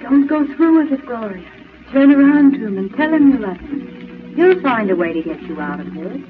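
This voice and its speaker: A woman's voice: fairly high pitch, animated, normal speed, far from the mic, close to an everyday voice. A woman playing a fretful, urging female conscience in 1940s radio drama style. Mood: urgent, pleading. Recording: muffled noisy 1940s radio broadcast.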